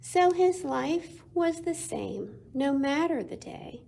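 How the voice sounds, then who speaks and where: A woman reading a picture book aloud to children as a storytime reader, with expressive delivery and dramatic pauses. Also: high-pitched, vocal fry, slight background noise.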